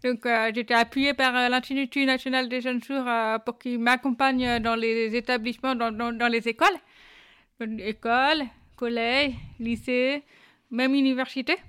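Young woman guest, smooth clear voice, slightly high, hesitant but earnest. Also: flat delivery, moderate pace, fairly bright tone. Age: young adult. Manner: plain, earnest, with frequent hesitations. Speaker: guest, deaf employment adviser. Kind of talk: interview answers. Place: quiet corner at a busy job fair.

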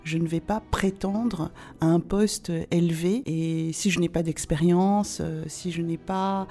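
A woman of forty-eight from France speaking conversationally as an interview guest, often pausing to search for her words. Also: lowish voice, fairly even intonation, dark tone. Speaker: podcast guest. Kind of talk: conversational interview, recounting own life.

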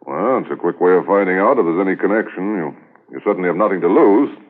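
An actor playing a measured, authoritative police inspector, formal and deliberate, in 1950s radio drama style. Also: male voice, low-pitched, expressive. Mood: approving. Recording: tinny, narrow-band 1950s radio transcription.

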